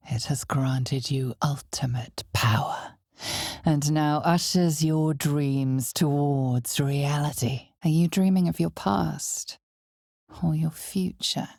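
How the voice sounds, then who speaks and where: An actress voicing an ominous, knowing narrator in a dark fantasy video game, with measured, dramatic delivery that often sinks toward a whisper. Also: female voice, deep voice, creaky, slightly rough, no room sound, clean studio sound, heavily acted. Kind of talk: scripted second-person fantasy game narration.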